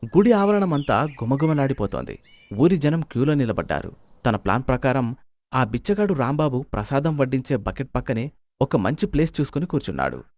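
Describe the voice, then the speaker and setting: A man's voice: mid-range voice, big pitch swings, brisk, darkish tone, no room sound. A man narrating a scripted moral story as its storyteller.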